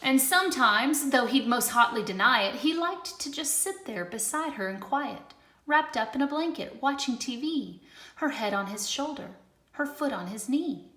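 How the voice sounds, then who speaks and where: Expressive woman reading a rhyming storybook aloud. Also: high-pitched, normal speed. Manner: expressive, shifts between loud and hushed. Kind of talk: rhythmic picture-book read-aloud. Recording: roomy echo.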